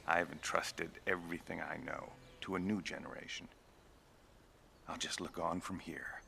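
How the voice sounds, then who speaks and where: An actor playing a weary older flight instructor in mourning, solemn and resigned, with a gravelly voice. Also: male voice, low-pitched, fairly even intonation, moderate pace, pushed and bright, a little hiss or hum, heavily acted. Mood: sorrowful, resigned. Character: weary grieving veteran male flight instructor.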